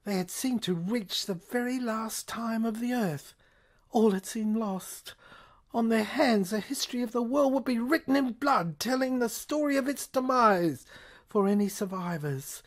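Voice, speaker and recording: A woman with a fairly high voice narrates a science fiction audio drama in the first person. Her delivery is intense and halting, circling back over phrases. At times she sinks toward a whisper.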